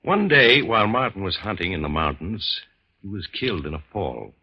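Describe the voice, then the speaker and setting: A learned doctor speaks of the supernatural in a low, husky voice. His solemn, portentous delivery rises and falls expressively, in the manner of vintage radio horror. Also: sing-song, normal speed, heavily acted. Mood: grave, ominous. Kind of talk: 1950s radio horror acting. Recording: dull, lo-fi vintage radio recording.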